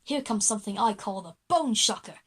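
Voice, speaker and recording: A woman's voice: medium pitch, animated, fairly quick, breathy edge. A woman playing an eager skateboarding schoolboy in a children's audio drama. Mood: excited.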